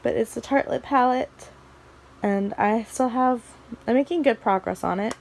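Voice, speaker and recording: A woman's voice: fairly high pitch, normal speed, vocal fry, a little mellow. A young woman from the American Midwest vlogging casually about her makeup collection, her voice a little scratchy from allergies, chatty and rambling with small restarts. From Missouri, USA. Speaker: beauty vlogger. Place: bedroom, indoors.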